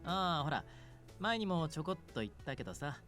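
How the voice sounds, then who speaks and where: A man's voice: on the high side, big pitch swings, rapid-fire, a bit bright. An actor voicing an easygoing, reassuring young man in a visual novel game. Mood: relaxed, casual. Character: easygoing, reassuring young male cafe regular. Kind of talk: Japanese visual novel voice acting. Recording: game music behind.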